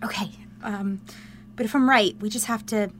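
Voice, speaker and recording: A young woman playing an excitable, caring, pushy confidante who talks in an informal, earnest, coaxing way in a modern audio drama. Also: mid-range voice, normal speed, a little hiss or hum, natural voice. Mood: gentle, coaxing.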